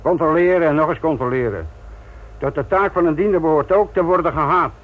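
An actor playing a gruff, sardonic senior police detective lecturing trainees in a radio play. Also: male voice, a little high, brisk, natural voice. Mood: sarcastic, stern. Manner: sarcastic, authoritative, lecturing. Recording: muffled, noisy old radio play recording.

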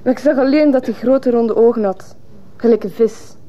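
Defiant Flemish teenage girl acted by a woman, high-voiced. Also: normal speed, mellow, lo-fi sound, lightly acted. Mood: sullen, defiant. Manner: stubborn, blunt, colloquial. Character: defiant teenage runaway girl testifying in court. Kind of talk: radio drama acting.

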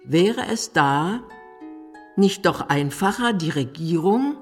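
Casting: female radio documentary narrator, low register, measured delivery over clear background music. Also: lively intonation.

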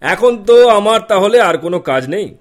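An actor playing a polite, deferential family friend who explains things patiently in an audio play. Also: male voice, high voice, lively intonation, fairly quick.

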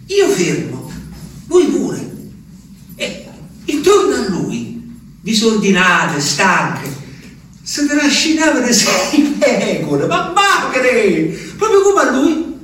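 An actor playing a rustic, agitated peasant in a halting, repetitive stage monologue. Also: male voice, very high-pitched, wildly swooping pitch, exaggerated voice. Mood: excited. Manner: halting, repetitive, volatile between murmurs and shouts. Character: rustic, agitated Abruzzese peasant man recounting his journey. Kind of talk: theatrical dramatic monologue. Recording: very echoey distant stage recording, faint noise.

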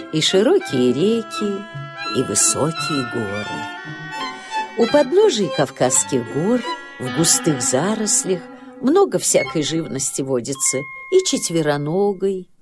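A woman narrating a children's story as an expressive storyteller, with deliberate pauses. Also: low voice. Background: music.

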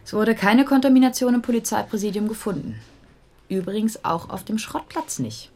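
A woman's voice: on the high side, expressive, moderate pace, mellow. A woman playing a cool, dryly teasing police assistant in a German crime radio drama. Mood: matter-of-fact.

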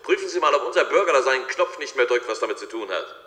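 An actor playing a brisk, self-important male government deputy in a science-fiction radio play, giving urgent, bossy orders over an echoing line. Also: medium pitch, lively intonation, bright, forceful tone.

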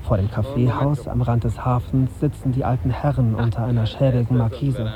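An actor playing a young radio journalist, wry and understated, in a naturalistic radio drama. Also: male voice, mid-range voice, steady tone, brisk, crowd noise, close to an everyday voice. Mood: detached, observant. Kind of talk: literary first-person narration and naturalistic dialogue.

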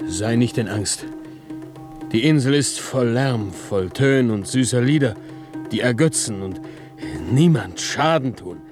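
An actor playing a brutish island monster in a classical German radio play, servile, vengeful and dreamy, with theatrical, poetic delivery. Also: male voice, medium pitch, animated, a little slow, over music, lightly acted. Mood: dreamy, wistful.

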